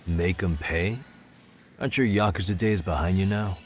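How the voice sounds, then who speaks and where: A man's voice: low-pitched, normal speed, close to an everyday voice. A man playing a composed private investigator in an English-dubbed crime game, matter-of-fact and probing. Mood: casual.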